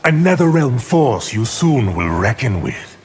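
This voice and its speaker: Haughty evil sorcerer for a fighting game, drawn out and super swoopy delivery, noisy track. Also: male voice, medium pitch, very animated, measured pace. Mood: sly, amused. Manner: theatrical, menacing, haughty. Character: scheming, arrogant male necromancer sorcerer villain. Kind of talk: video game voice acting.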